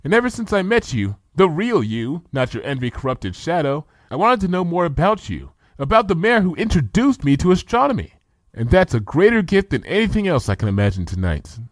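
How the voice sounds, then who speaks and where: A man's voice: a little high, very animated, brisk, no room sound, voice pushed up. A man voicing an earnest, bookish young female unicorn who talks eagerly for a storybook reading.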